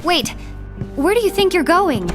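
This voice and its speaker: A woman playing a composed, dutiful young mediator in a video game cutscene, earnest, polite and measured. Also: very high-pitched, sing-song, music in the background, full character voice. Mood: composed, concerned.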